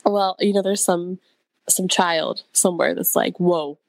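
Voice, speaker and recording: A woman's voice: lowish voice, fairly even intonation, a little slow, vocal fry, no room sound. Effusive woman podcast host. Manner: warm reactions, effusive, laughs. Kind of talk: casual interview chat.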